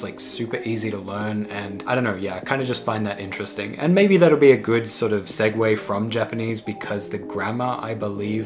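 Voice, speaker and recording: A young man talking through his old life plans in a casual, thoughtful vlog, rambling and trailing off at times. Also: mid-range voice. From Australia. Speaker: YouTuber.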